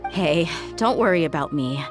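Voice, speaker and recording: A tough young mercenary woman, speaks in a lowish voice. She is frank, steady and world-weary in her dramatic game dialogue, with music clearly heard underneath. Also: normal speed. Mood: reassuring, reflective. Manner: sincere, reflective, steady.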